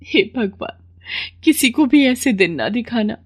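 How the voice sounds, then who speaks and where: A woman voicing a humble poor housemaid and mother, meek and gentle, in a moral story. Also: fairly high pitch, animated, a little slow, slightly rough, pitched above the performer's own voice. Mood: tender, sorrowful.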